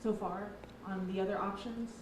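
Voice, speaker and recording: A woman's voice: mid-range voice, steady tone, normal speed, darkish tone. A woman news reporter asking casual interview questions. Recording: echoey room, background noise.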